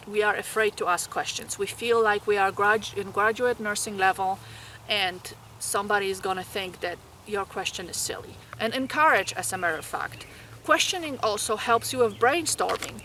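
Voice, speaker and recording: A woman's voice: medium pitch, bright, forceful tone, a little hiss or hum. A woman from Poland with a Polish accent, giving earnest, encouraging study advice to nursing students. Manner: earnest, encouraging, with small grammar slips.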